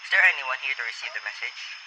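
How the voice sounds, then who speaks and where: A man's voice: mid-range voice, expressive, fast talker, bright, forceful tone, poor audio. A man playing a courteous, impersonal telegram clerk, reciting formally in an amateur radio play. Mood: businesslike.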